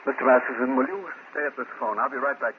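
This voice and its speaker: An actor playing a worried, authoritative male doctor in an old-time radio drama, measured and educated but urgent. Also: mid-range voice, steady tone, lightly acted. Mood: anxious, urgent. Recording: muffled old radio broadcast, faint noise.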